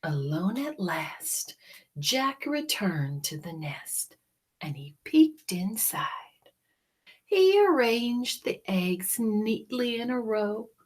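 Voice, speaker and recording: Slow, animated woman reading a children's story. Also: medium pitch. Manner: dramatic, deliberate storytelling with hushed moments. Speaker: storybook reader. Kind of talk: expressive children's story read-aloud.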